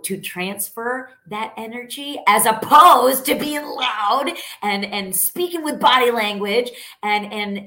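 Loud, energetic New woman coach. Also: medium pitch. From New York. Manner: loud, energetic, emphatic, asks the audience questions. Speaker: sales coach. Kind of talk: live-stream coaching talk.

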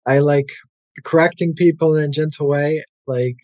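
A man's voice: a little high, normal speed, no echo. American man, easygoing chat, dry close sound. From United States. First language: English. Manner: relaxed and chatty, many "like" and "uh" fillers. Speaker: guest, English language coach. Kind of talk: casual interview conversation.

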